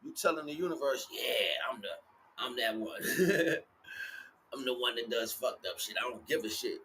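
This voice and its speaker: A man's voice: mid-range voice, lively intonation, unhurried, sharp tone, slight background noise. A man giving a rambling motivational spiritual talk in casual, sweary street slang, emphatic and repeating his phrases. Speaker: livestream spiritual teacher.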